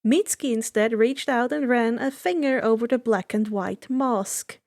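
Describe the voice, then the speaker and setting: A woman reading fan fiction aloud as expressive scripted narration. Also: a little high, moderate pace.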